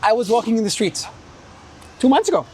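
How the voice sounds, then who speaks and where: An Israeli-accented man talks in a fairly high voice, earnest and halting, often restarting his sentences. He is outdoors on a city street with faint noise behind him. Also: very animated, a little mellow. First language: Hebrew. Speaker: tech company founder. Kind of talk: casual street conversation.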